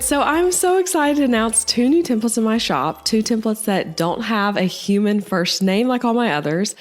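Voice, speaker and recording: A woman in her thirties hosting her podcast in casual solo talk, chatty and upbeat with lots of "like"s, her voice a little congested from a cold. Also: medium pitch. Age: 30s. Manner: chatty and upbeat, filler-heavy with many "like"s. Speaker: podcast host.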